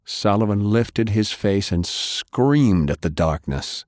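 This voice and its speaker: Male narrator, low-pitched, grave dramatic storytelling, very dry studio sound. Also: expressive, takes it slowly, darkish tone, no room sound. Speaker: audiobook narrator. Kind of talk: dramatic scripted fiction narration.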